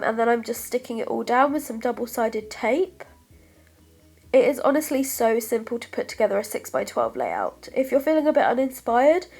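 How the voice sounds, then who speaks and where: A woman's voice: on the high side, darkish tone. A young British woman with a stuffy, congested voice from a cold, chatting through a scrapbooking voice-over, a little short of breath and apologetic. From United Kingdom. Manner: chatty, apologetic, lots of ums. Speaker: craft vlogger.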